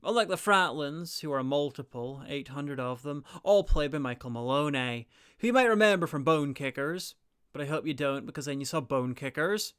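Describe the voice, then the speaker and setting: A man's voice: on the high side, expressive, moderate pace, a bit bright, clear, resonant tone, dry recording. A man narrating a scripted review in a wry, blunt, sweary manner. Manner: blunt, sweary, dry humour. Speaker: reviewer and presenter.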